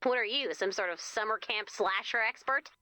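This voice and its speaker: An actress playing a young, perky camp leader in a video game, chatty, expressive and wryly exasperated. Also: female voice, medium pitch, moderate pace, dry recording, close to an everyday voice. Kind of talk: video game character voice lines.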